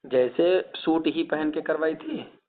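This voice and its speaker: A man's voice: fairly high pitch, slow. A man asking probing, insistent questions in a casual private phone conversation. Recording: dull lo-fi phone recording.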